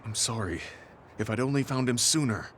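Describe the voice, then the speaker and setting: An actor playing a stoic, earnest veteran soldier in a video game, speaking in a serious, reserved, measured way. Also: male voice, mid-range voice, lively intonation, a little hiss or hum, lightly acted. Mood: solemn. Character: stoic, earnest male veteran soldier, quietly grieving and resolute. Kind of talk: English video game voice acting. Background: soft background music.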